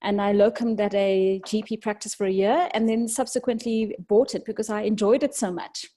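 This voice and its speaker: A woman from South Africa with a South African accent, speaking as a doctor and podcast guest in a reflective, halting conversational way. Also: medium pitch, steady tone, dark tone.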